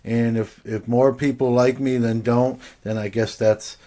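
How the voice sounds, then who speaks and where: A man's voice: mid-range voice, fairly even intonation, a little slow, warm tone. A man talking as a podcast guest, reflective and hesitant, his sentences full of fillers and restarts. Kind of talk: casual chat.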